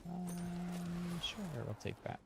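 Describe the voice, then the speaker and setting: A man's voice: sits high, lively intonation, slow pace, gravelly, noisy background. A man giving casual live gaming commentary as a streamer, chatting in an easygoing way and thinking aloud. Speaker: game streamer.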